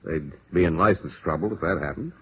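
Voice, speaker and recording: A very deep-voiced American police lieutenant. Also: male voice. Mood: weighing, frank. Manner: deliberate, emphatic, matter-of-fact. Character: seasoned, businesslike male police lieutenant, firm and frank. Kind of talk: 1950s radio drama acting. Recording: muffled, hissy old radio recording.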